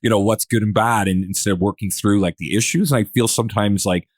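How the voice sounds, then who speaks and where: Low-voiced man chatting as podcast host. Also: steady tone, a little fast, no echo, studio quality. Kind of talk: casual podcast discussion.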